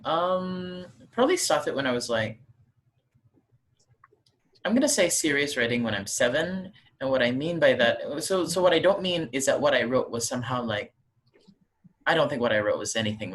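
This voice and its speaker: A man's voice: mid-range voice, a bit bright. A young man, an author being interviewed from home, talking casually with a rambling, self-deprecating manner. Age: young adult. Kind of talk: casual interview over a call. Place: home room with a bookshelf.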